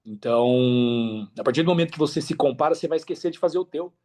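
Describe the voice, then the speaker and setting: Higher-pitched Brazilian man, emphatic and slangy. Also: fast. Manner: emphatic, repeats phrases, slangy, animated storytelling with acted-out quotes. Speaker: podcast guest, affiliate marketer. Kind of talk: casual podcast conversation.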